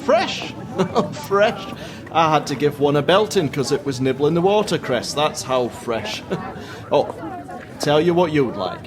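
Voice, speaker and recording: A man's voice: fairly high pitch, big pitch swings, measured pace, crowd noise. A man playing a cheery, joking Scottish fishmonger bantering in a radio play. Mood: jovial banter. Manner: jokey, salesman-like banter. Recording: busy street bustle behind voice.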